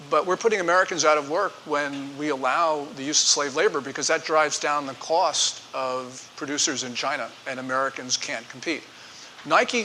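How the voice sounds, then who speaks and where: A man's voice: fairly high pitch, sharp tone. A middle-aged man giving an emphatic, editorializing talk as guest speaker, answering audience questions. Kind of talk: lecture with audience Q&A. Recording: reverberant hall with faint hiss.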